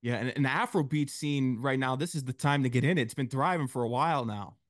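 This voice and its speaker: A man's voice: medium pitch, fast, no room sound, studio quality. A man interviewing a guest casually as a show host, in an encouraging, affirming way.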